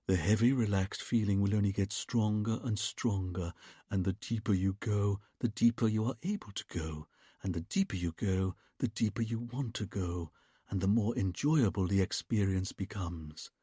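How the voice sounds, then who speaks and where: A man voicing a vain male hypnotist who murmurs softly and soothingly to a woman in a trance. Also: mid-range voice, wildly swooping pitch, gravelly, slightly rough, dry recording, clean studio sound, full character voice. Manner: soft, slow, soothing murmur.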